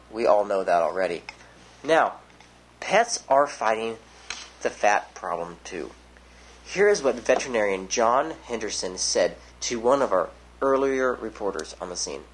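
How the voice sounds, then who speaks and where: A young man playing a news anchor in an amateur mock newscast, with a mock-serious, deadpan delivery. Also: fairly low pitch, a little hiss or hum, poor audio.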